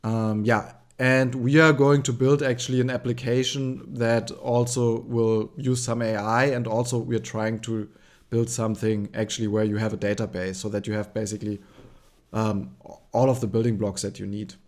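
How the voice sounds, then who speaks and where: A man with a German accent hosting a relaxed screen-share tutorial, thinking aloud with frequent ums and likes. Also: medium pitch, normal speed. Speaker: tutorial host.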